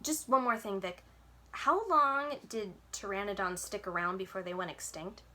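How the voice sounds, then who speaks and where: A woman's voice: medium pitch, normal speed, bright, forceful tone, close to an everyday voice. An earnest young boy, read aloud by a woman. He speaks plainly and a little wearily, as the hero of a children's storybook.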